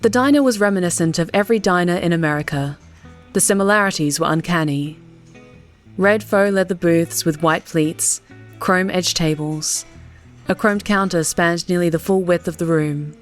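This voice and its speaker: A woman's voice: lowish voice, moderate pace. A woman reading scripted fiction as an audiobook narrator. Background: faint music.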